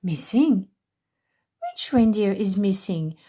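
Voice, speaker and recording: A woman's voice: sing-song, takes it slowly, mellow, breathy edge, heavily acted. A woman voicing a jolly old Santa for a storybook, hearty and blustering in manner. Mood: questioning. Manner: hearty, booming.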